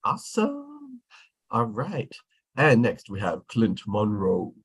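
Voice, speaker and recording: A man's voice: on the low side, wildly swooping pitch, slow pace, husky. A man hosting an online get-together on a video call, introducing guests in casual chat. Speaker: host.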